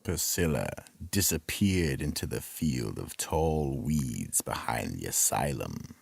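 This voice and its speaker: A man with a husky, gravelly voice, ominously narrating and announcing a scripted horror radio drama. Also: extremely low pitch, sing-song. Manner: slow, ominous.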